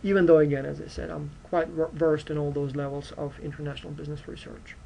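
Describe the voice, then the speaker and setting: Ukrainian guy lecturing his class, earnest, lots of uh and you know, dark mellow voice, faint hiss behind. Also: fairly high pitch, level tone, fast, vocal fry, low-quality recording. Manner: earnest, direct, frequent fillers like uh and you know. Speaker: university lecturer. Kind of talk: recorded course introduction lecture.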